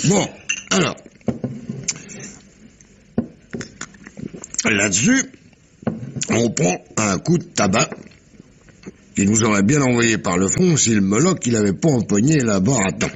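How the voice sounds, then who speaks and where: An actor playing a rough, tipsy sailor spinning a sea yarn in slangy, rambling, halting speech, in 1950s French radio mystery style. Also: male voice, medium pitch, very animated, a little hiss or hum. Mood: boastful, gossipy.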